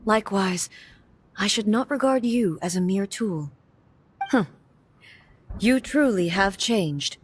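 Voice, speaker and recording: A woman playing a stern, proud warrior deity in a video game, speaking formally and with measured solemnity. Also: medium pitch, lively intonation, breathy edge. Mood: resolute.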